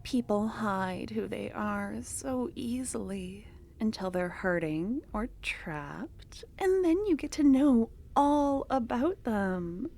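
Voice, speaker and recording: A woman voicing an obsessive, possessive young cultist in an ASMR fantasy roleplay, speaking in a soft, breathy half-whisper that coaxes and soothes. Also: fairly high pitch, lively intonation, slow, hardly any pauses, full character voice. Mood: soothing, possessive.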